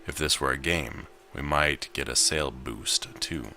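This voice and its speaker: A man with an extremely low voice narrates a visual novel as he plays. His tone is bright and forceful, and faint music sits behind him. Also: a little slow. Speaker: gamer narrating his gameplay. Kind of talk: visual novel read aloud with casual commentary.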